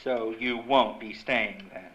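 Prim sly motel clerk, muffled recording. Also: male voice, medium pitch, fairly even intonation, slow, a bit bright. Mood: politely sly. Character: polite, sly, bribable male motel desk clerk. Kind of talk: old-time radio drama acting. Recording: dull lo-fi live stage recording, clear noise.

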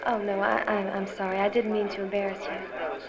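A woman's voice: mid-range voice, steady tone, fairly quick, a crowd in the background, close to an everyday voice. An American woman playing a polite, prim young aspiring actress who loves gossip, in a period radio drama. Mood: polite, shy. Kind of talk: 1940s-style radio drama acting. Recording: dull lo-fi sound, loud music behind.

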